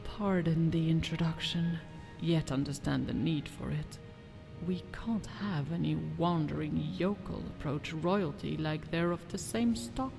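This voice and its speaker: Low, creaky, haughty noblewoman over music. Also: a little slow. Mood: haughty, composed. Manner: imperious, formal, disdainful diction. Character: haughty, scheming noblewoman of a fantasy kingdom. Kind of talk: fantasy video game dialogue. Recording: music behind the voice.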